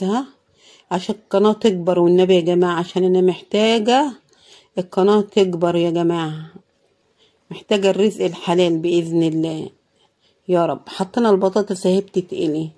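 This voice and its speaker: A woman with an Egyptian Arabic accent talks through her cooking in a hoarse, worn-out voice. She sounds tired and speaks casually, often murmuring thanks to God. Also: on the low side, steady tone, a little fast, dark tone. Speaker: cooking vlogger.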